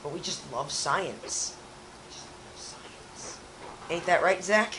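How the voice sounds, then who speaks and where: A young man with a raspy, hoarse voice from a cold, rambling in casual chat as a channel co-host. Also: fairly high pitch, animated, slow pace, bright, forceful tone, a little hiss or hum, poor audio. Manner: rambling, restarts often.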